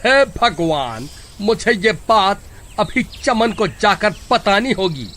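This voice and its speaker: A man's voice: high-pitched, big pitch swings, slow pace, sharp tone, full character voice. An actor playing an anxious, easily alarmed village man in a horror cartoon, fretful and full of exclamations. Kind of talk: Hindi horror cartoon voice acting.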